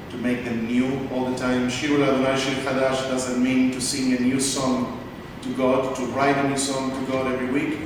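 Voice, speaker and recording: A man, a cantor giving a heartfelt, grateful, slightly halting acceptance speech. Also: mid-range voice. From Argentina. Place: large synagogue hall. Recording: echoey hall from far off, noisy.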